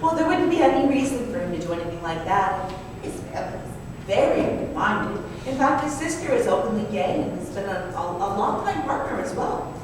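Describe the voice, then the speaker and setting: A woman's voice: medium pitch, very animated, fairly quick, lightly acted. A woman playing a naive, anxious, wealthy young wife who confides hesitantly in an old-time radio drama. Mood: troubled. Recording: noisy, echoey hall from far off.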